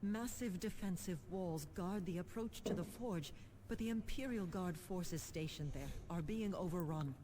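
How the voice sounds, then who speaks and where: A woman playing a composed, determined government administrator in a sci-fi video game, formal and crisp with dry irony. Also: fairly low pitch, normal speed, noisy background, close to an everyday voice. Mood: grave, urgent. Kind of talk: scripted video game acting.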